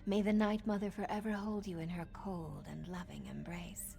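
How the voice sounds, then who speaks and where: An actress voicing a flirtatious, wicked noblewoman assassin in a video game, with a teasing, breathy manner. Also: fairly low pitch, level tone, normal speed. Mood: flirty, teasing. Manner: teasing, coquettish, breathy asides. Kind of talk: video game character acting. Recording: background music under the voice.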